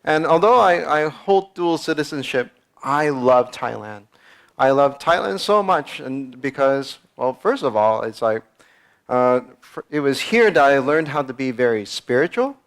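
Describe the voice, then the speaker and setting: A man's voice: mid-range voice, lively intonation, unhurried, gravelly. A man from the United States, a startup founder giving a conversational conference talk and acting out quoted voices. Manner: conversational, repeats words, acts out quoted voices.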